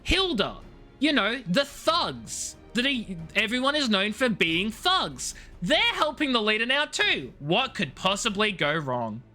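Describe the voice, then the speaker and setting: Lively, bright-voiced woman streaming a game. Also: mid-range voice, unhurried, faint music behind. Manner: playful, jokey, chatty asides. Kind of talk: casual live-stream chat and commentary.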